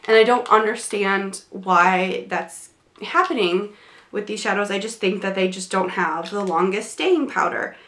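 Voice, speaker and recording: A woman's voice: mid-range voice, a little slow, fairly bright tone, echoey room. A young woman reviewing makeup in a casual, rambling way, her voice a bit congested from a cold. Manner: chatty, rambling, many fillers and restarts. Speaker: beauty vlogger. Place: small home room, indoors.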